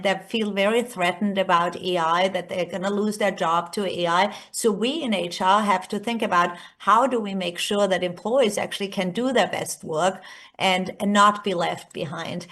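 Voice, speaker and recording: Austrian woman presenting, mid-pitched voice. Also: fairly even intonation, normal speed, a bit bright. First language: German. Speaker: keynote speaker, research executive. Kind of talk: conference presentation, semi-scripted. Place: remote presentation by screen share.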